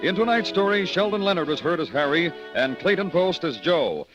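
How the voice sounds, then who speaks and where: A man's voice: on the high side, lively intonation, brisk, lo-fi sound, over music. An American man announcing a 1950s suspense radio program in a scripted style. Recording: old radio broadcast recording.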